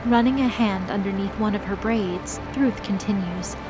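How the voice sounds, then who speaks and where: A woman's voice: medium pitch, moderate pace, close to an everyday voice, rough recording. A woman delivering dramatic scripted narration as the narrator of an audio drama. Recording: loud dramatic music behind the voice.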